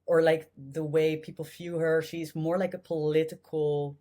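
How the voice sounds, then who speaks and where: A man's voice: a little high, level tone, measured pace, warm tone. Hesitant Dutch-accented man in his thirties. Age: 30s. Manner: hesitant, many fillers and restarts. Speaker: guest. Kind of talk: casual interview chat.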